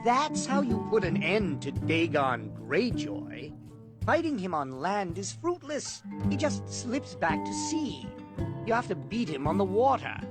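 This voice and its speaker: An audiobook performer voicing a blunt, wry male hedge knight in an animated, advising manner. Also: medium pitch, measured pace. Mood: dismissive, advising. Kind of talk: audiobook character reading. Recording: loud plucked-string music over clip.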